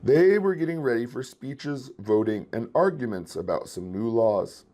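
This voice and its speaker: A man reading a children's story aloud in an expressive storytelling style. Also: mid-range voice, normal speed, a little hiss or hum. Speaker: children's book reader.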